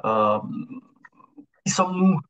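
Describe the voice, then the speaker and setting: A man in his forties from Italy with an Italian accent, a bit ill, presenting a webinar as a consultant and speaking haltingly with many hesitations. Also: on the high side, lively intonation, fairly bright tone, low-quality recording. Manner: halting, with frequent hesitations and restarts. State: slightly ill.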